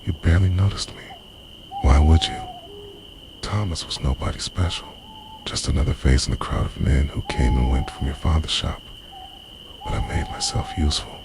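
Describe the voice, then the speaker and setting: A man playing an obsessive, centuries-old lover in an intimate ASMR roleplay, half-whispering in a breathy, confessional way, tender and possessive. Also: a bass voice, huge pitch swings, moderate pace, heavily acted. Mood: tender, wistful. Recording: noisy, owls and insects behind.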